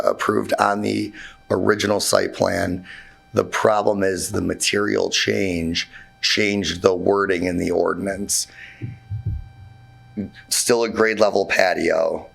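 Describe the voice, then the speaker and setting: A man with a hoarse, worn-out voice presenting his building requests to a township board, talking in a halting way with many fillers and restarts. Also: low-pitched, fairly bright tone. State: hoarse, voice nearly gone. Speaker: contractor presenting to a zoning board. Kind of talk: informal presentation at a public meeting. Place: township meeting room.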